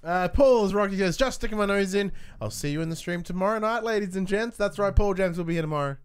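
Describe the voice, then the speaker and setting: A man's voice: sits high, quick pace, clear, resonant tone. A man co-hosting a podcast in a casual, chatty style, putting questions to his partner. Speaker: podcast co-host reading viewer questions.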